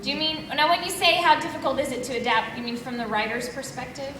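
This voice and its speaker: A woman's voice: high voice, steady tone, fast talker, background noise. A woman voice actress explaining the recording process on a convention panel in a chatty, upbeat way. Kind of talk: casual panel explanation to a live audience. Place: large convention hall. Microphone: audience member's camera from far off. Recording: distant echoey hall audio from audience.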